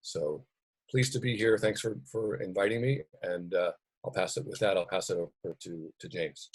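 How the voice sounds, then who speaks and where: An older man on a panel over a video call, explaining his work informally and haltingly, thinking aloud. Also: deep voice, slightly rough, no room sound, rough recording. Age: 50s or older. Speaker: panelist, brand strategy consultant. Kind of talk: informal professional self-introduction.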